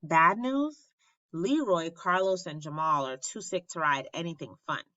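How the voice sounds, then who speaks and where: A woman reads a children's story in a bright, forceful voice. She takes her time, teaching as she goes with animated expression. The recording is a little dull and lacks crispness. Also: fairly low pitch, unhurried. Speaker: teacher reading a picture book aloud. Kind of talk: expressive children's read-aloud. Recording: roomy, slightly muffled sound.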